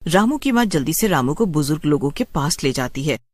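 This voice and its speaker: Female narrator, dramatic horror storytelling, very lively intonation, medium pitch, dry close recording. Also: a little fast, dry recording.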